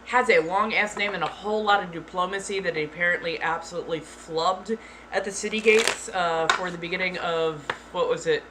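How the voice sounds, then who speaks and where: Woman streamer talking to chat, flat monotone on purpose, blunt, kinda sweary, noisy background. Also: fairly low pitch. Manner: blunt, deliberately monotone delivery; frank, swears casually. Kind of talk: casual live-stream chat. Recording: clear background noise.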